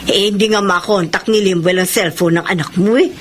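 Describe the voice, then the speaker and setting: An actress playing a frank, busybody neighbor friend in a Filipino radio drama, chatty and emphatic. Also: female voice, medium pitch, fairly even intonation, a little fast, slight background noise, close to an everyday voice. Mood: persuasive.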